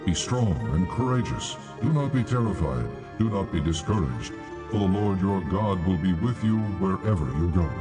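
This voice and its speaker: An actor playing God in a dramatized scripture reading, solemn, measured and authoritative. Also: male voice, low-pitched, expressive. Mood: solemn, commanding. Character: God, solemn commanding male divine voice. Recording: music under the voice.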